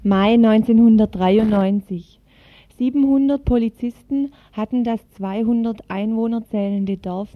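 A muffled, dry radio recording carries a woman's voice at a middling pitch. She reads a prepared news feature as a contributor presenting a report. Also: no echo. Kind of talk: scripted news feature narration.

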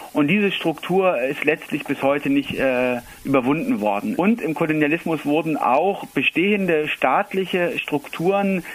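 A man giving explanatory interview answers as an expert, in measured academic phrasing with frequent hesitations. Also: on the high side, low-quality recording. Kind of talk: explanatory interview talk on politics.

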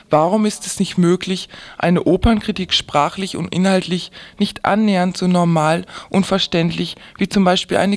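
A woman reading a scripted commentary for the radio. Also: fairly low pitch.

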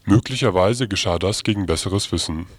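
A man presenting on radio, reading news and event listings in an informal, halting way. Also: low voice, very noisy. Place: radio studio. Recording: music playing under the voice. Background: loud music.

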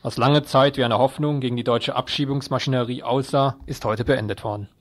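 A man's voice: mid-range voice, fairly even intonation, fairly quick, no room sound, clean studio sound. A man presenting radio news, reading a scripted report.

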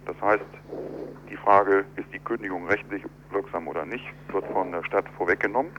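A man speaking as an architect in a telephone interview, explaining in a measured, deliberate, argumentative way. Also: deep voice, a bit bright. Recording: dull telephone line with clear hum.

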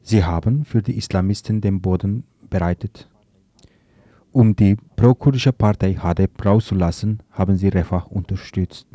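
A man's voice: sits low, normal speed, mellow, no room sound. A man reading a scripted political commentary on the radio, stumbling now and then over words.

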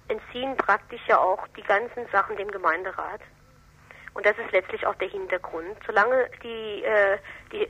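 A woman explaining local politics in a discussion, critical and emphatic, with halting phrasing. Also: mid-range voice, bright, forceful tone, husky. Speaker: political commentator on local council affairs. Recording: dull, lo-fi radio interview recording.

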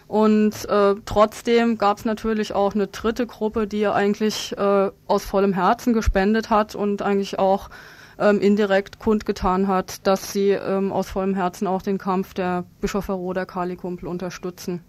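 A woman being interviewed as an activist guest, explaining her position in a halting, spontaneous way with frequent ums. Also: medium pitch, little pitch movement, a little mellow, no room sound.

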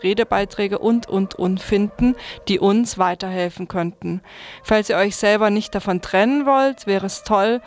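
Woman radio presenter reading announcements over music. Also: mid-range voice. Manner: informal address, reading from notes. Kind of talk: reading event listings and announcements.

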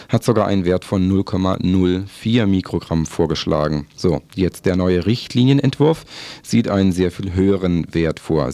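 A man presenting a radio magazine, explaining in a halting way as he rephrases between scripted passages. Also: low-pitched, gravelly, warm tone, no room sound.

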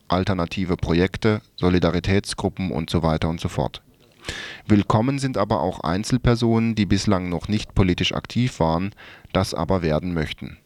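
A man reading a scripted radio report in a formal, measured manner. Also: sits low, no room sound. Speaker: radio presenter.

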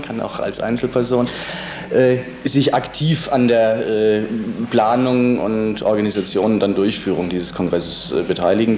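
Guy in a radio interview, soft dark voice, hesitates a lot, roomy sound w/ some faint noise. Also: medium pitch. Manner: halting, searching for words, many hesitations. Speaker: interviewed political organizer.

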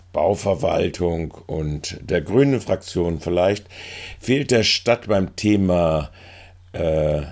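A man's voice: low-pitched, darkish tone. A man giving spoken political commentary as a reporter, in long wordy sentences.

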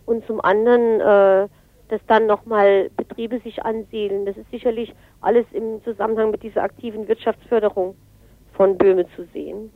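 A woman's voice: medium pitch, fairly even intonation, moderate pace, no room sound. A woman speaking spontaneously in a radio interview as a local politician, explaining her view. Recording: narrow phone-like line.

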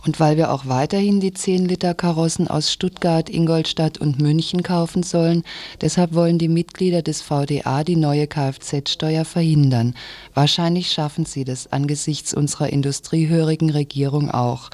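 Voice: mid-range voice, fairly even intonation, normal speed, darkish tone. A radio presenter reading a scripted news commentary with pointed, critical wording.

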